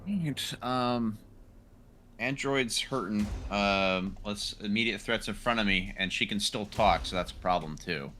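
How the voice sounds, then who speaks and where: A man's voice: fairly low pitch, unhurried, pushed and bright, faint music behind. A man chatting casually as a player in a tabletop roleplaying game, thinking his moves through aloud.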